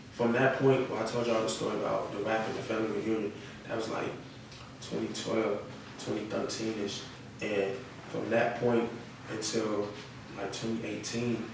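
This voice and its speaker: A young man chats casually in slang, as a guest being interviewed. His delivery stays fairly flat and gravelly. He is heard off the mic in a noisy room. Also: fairly low pitch, heavy reverb, recorded from a distance. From North Carolina, United States. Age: young adult. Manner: slangy, often checks with "you feel me".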